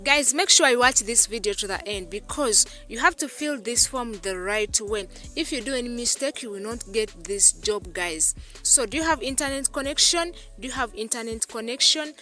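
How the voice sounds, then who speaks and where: Woman, bright and forceful, repetitive tutorial talk, faint music behind. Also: on the high side, lively intonation, normal speed, hardly any pauses. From Uganda. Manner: repetitive, keeps addressing viewers as "guys". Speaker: YouTube channel host. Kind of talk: screen-recorded tutorial voice-over.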